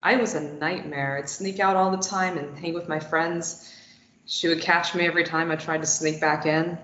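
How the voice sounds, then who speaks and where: A woman reading a defiant captive young woman at a table read, firing back quick retorts. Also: low-pitched, fairly even intonation, fairly bright tone, roomy sound, muffled recording, a little hiss or hum. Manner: quick retorts, tense and pleading when threatened.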